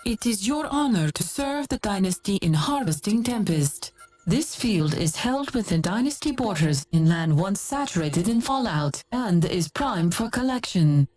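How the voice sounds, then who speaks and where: A woman's voice: mid-range voice, animated, measured pace, a little mellow, soft background music. An actress voicing a formal female mission briefing announcer in a game.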